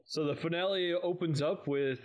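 A man's voice: on the high side, fairly even intonation, normal speed. A man co-hosting a fan podcast, giving a casual recap in an informal, easygoing way. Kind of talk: casual episode recap and chat.

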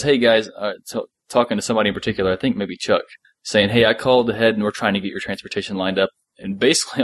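A man's voice: lowish voice, no room sound. A man co-hosting a podcast in a casual discussion, explaining things in detail and thinking aloud. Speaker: podcast co-host.

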